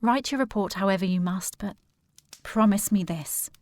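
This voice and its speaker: A woman's voice: mid-range voice, moderate pace, darkish tone, dry recording, studio quality, close to an everyday voice. An actress playing a resolute, well-bred young woman in a period audio drama, with a formal, poised and earnest manner. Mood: earnest, insistent.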